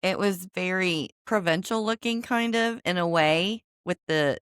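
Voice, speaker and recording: Nasal woman co-host, deep voice, still a bit sick, dry close sound. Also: a little slow, a bit bright, clear, resonant tone, dry recording. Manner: easygoing, agreeable, frequent mm-hmms. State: getting over being sick, coughing. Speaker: podcast co-host. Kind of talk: casual chat.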